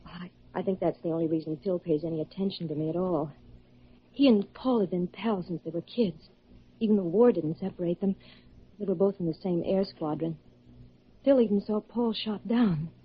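A woman's voice: fairly low pitch, normal speed, breathy edge. A woman acting a worried, helpful young woman in a classic radio drama. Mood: earnest. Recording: muffled old radio recording.

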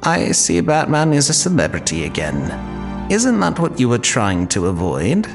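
A man's voice: mid-range voice, huge pitch swings, a little slow. An actor playing a devoted older male butler in an audio drama, formal, courteous and drily witty. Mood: pointed, gentle. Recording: long reverb, faint music behind.

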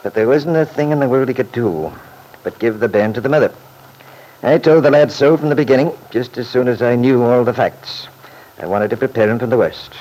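An actor playing a plainspoken, opinionated middle-aged Scottish country lawyer in an old-time radio drama, folksy and firm. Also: male voice, medium pitch, brisk, darkish tone, close to an everyday voice. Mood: firm, defensive. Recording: noisy vintage radio recording.

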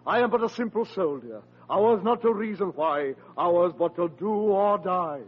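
An actor playing a pompous, shifty older uncle with florid, theatrical delivery in 1940s radio comedy style. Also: male voice, sits high, animated, moderate pace. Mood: pompous farewell. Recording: muffled husky vintage 1940s radio recording.

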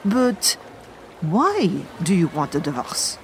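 A woman's voice: on the low side, huge pitch swings, slow pace, slight background noise, full character voice. A woman playing a worldly, practical French friend with a French accent in an audio drama. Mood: teasing, practical.

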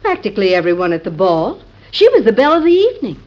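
An actress playing an outspoken, reasoning grown daughter in an old-time radio drama. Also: female voice, medium pitch, huge pitch swings, fast talker, darkish tone. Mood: bright, chatty. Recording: dull old radio recording.

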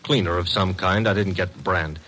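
An actor in a classic radio drama playing a brash, breezy, wisecracking detective's assistant with slangy, sardonic delivery. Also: male voice, sits low, a little fast, a bit bright. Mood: dry, wisecracking. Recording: noisy old radio show recording.

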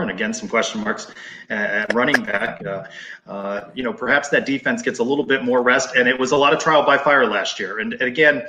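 Echoey room sound; male sports host, American, bright forward voice, conversational. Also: mid-range voice, highs cut off. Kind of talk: conversational hosting, asking questions.

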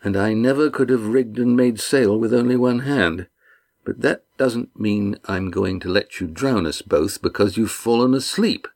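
A man's voice: lowish voice, measured pace, a little mellow, voice pushed up. A male narrator voicing a proud, injured sailing master who talks in clipped, curt replies. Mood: terse.

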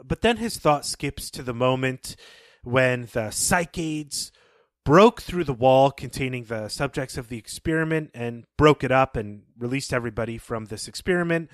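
A man co-hosting a podcast, talking casually and explaining things in a measured, thoughtful way. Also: medium pitch, expressive, no echo. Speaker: podcast co-host. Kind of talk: casual podcast talk and story recap.

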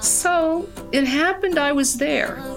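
An actress playing a plainspoken middle-aged country woman, wistfully reminiscing in an audio drama with pauses. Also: high voice, music in the background.

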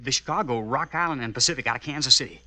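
An actor playing an eager, nervous young outlaw brother in a radio western, with a husky American voice and folksy, insistent talk. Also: male voice, mid-range voice, very fast, sharp tone, no room sound. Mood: eager, restless. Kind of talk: old-time radio western acting.

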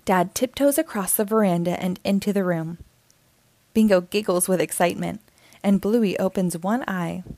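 A woman reading a children's story aloud in an expressive, deliberate narrating style. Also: mid-range voice, no room sound. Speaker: storybook reader.

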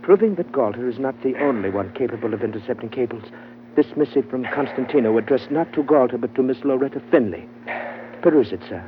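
An actor playing a pompous, theatrical gentleman trader who speaks in florid, formal phrases in an old radio drama. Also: medium pitch, expressive, fast, close to an everyday voice. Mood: formal, sly. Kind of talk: 1940s-50s radio adventure acting. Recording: muffled, faintly noisy old radio recording.

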